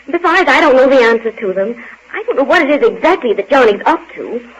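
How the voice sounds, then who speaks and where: Worried determined wife, high urgent voice, old radio play, muffled. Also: female voice, animated, a little fast, slightly rough. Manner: urgent, insistent. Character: anxious determined wife bent on saving her husband. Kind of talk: old-time radio drama acting.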